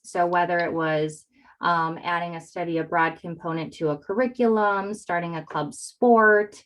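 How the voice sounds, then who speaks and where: A woman's voice: lowish voice, fairly bright tone. A woman with a Yooper northern Wisconsin accent giving conversational answers as an interview guest on a video call. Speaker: interview guest, real estate agent.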